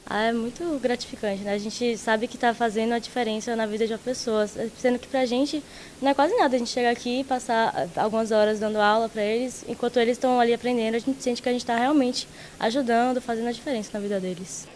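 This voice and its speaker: Brief: woman volunteer, rapid enthusiastic talk, slightly high voice, faint hiss. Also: fairly even intonation, a little mellow. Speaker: student volunteer teacher.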